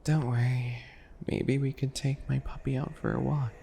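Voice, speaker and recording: A man playing a small, smug, obsessive yandere in an ASMR roleplay, slow and mock-sweet, with a breathy, gravelly voice that drops into whispers. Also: mid-range voice, little pitch movement, warm tone, slight background noise, natural voice.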